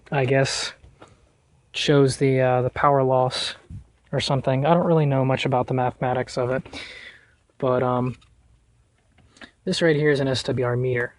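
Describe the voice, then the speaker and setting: A man giving a casual hands-on how-to in an informal, halting way, thinking aloud as he goes. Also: mid-range voice. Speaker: vlogger showing a car radio install.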